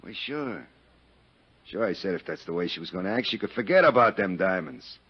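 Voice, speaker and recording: Crude folksy big-talker, jealous and rambling, lowish voice, muffled 1950s radio sound. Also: male voice, lively intonation, fairly quick, slightly rough. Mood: indignant, jealous. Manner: rambling, pushy, overfamiliar. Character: crude, boastful, jealous Chicago meat-business man. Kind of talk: 1950s radio detective drama acting. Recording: dull vintage 1950s radio recording.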